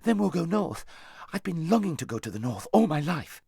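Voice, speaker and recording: A man's voice: on the high side, very animated, fairly quick, creaky, slightly rough, dry recording, clean studio sound, voice pushed up, heavily acted. A man voicing a naive young runaway boy in a storybook, eager and earnest. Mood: excited.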